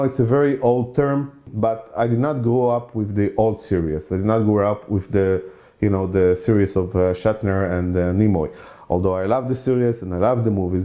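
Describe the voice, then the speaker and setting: A man's voice: lowish voice, warm tone. A man from Israel, around forty, with an Israeli accent, hosting his own channel and talking in a loose, chatty way, with lots of "you know" and repeated points for emphasis. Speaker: channel host. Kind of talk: casual opinion monologue.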